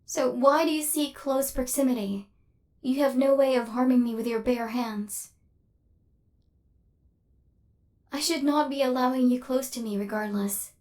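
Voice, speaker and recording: A woman's voice: high voice, normal speed, a little mellow, natural voice. A woman voicing a cold, haughty female killer robot in an ASMR roleplay, speaking in a formal, clinical, stilted way with a hint of hidden fluster. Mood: wary, insistent.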